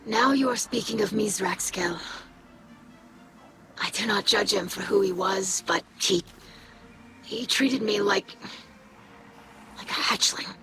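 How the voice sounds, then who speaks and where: A woman's voice: medium pitch, takes it slowly, pauses often, music in the background. An actress playing an earnest young female alien scholar in a video game, speaking in a formal, precise way. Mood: hurt. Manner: formal, precise wording without contractions. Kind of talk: video game dialogue acting. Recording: clearly noisy background.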